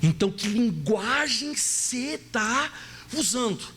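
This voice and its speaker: Gaúcho-accented male pastor, high and very expressive, playful preacher who talks to the crowd. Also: takes it slowly, husky. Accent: Gaúcho Brazilian Portuguese. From Rio Grande do Sul, Brazil. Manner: animated, playful, acts out voices and asks the audience questions. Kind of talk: sermon.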